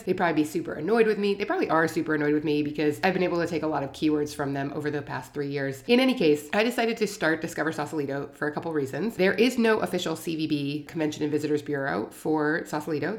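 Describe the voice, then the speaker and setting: Hoarse, quick-talking woman podcast host. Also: sits low. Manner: brisk and candid, self-deprecating. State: hoarse from a cold. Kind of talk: solo podcast talk.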